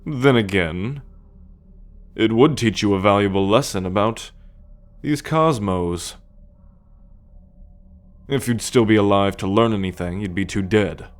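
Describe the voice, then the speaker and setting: A man playing a sardonic, coolly possessive male alien captor in an ASMR roleplay, speaking deliberately with a teasing condescension. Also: on the low side, close to an everyday voice. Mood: condescending. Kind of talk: ASMR roleplay monologue addressed to the listener.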